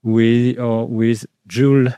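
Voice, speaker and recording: Hesitant male radio host, mellow smooth voice, dry close recording. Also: mid-range voice, flat delivery, no room sound. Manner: hesitant, many "uh" pauses. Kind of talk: interviewing a guest.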